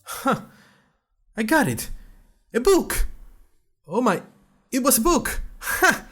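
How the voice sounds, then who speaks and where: A man's voice: extremely high pitch, big pitch swings, slow, a bit bright, breathy edge, faint music behind, heavily acted. A man playing an earnest young college student who tells his story in a wry, conversational way, as host of an audio drama diary. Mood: excited. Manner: conversational, wry, addresses listeners directly. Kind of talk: scripted first-person audio drama narration.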